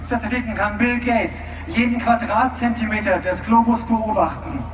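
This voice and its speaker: A man interpreting a speech live, loud and emphatic, at times searching for words. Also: high voice, moderate pace. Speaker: German interpreter at a rally. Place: outdoor mass rally. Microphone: public address system, recorded from a distance. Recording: distant echoing rally PA with crowd and music.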